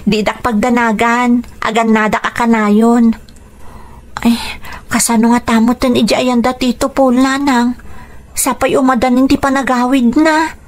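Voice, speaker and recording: An actress playing a young daughter who is caring and worried about her parents, earnest and expressive in an Ilocano radio drama. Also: fairly high pitch, measured pace, natural voice.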